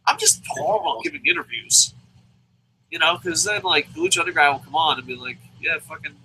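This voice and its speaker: A man's voice: fairly high pitch, huge pitch swings, fairly quick, vocal fry, bright, forceful tone, slight background noise, low-quality recording. A man hosting a casual wrestling review podcast, rambling and swearing freely, with stammering restarts. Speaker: wrestling review show host. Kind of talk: casual podcast chat, profane. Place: lives in Japan.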